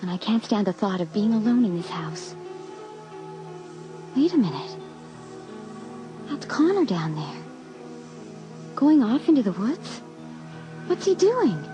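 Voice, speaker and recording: A woman's voice: mid-range voice, very animated, unhurried, pauses often, over music. An actress playing an uneasy teenage girl in a dramatised audiobook, with an anxious, brooding manner.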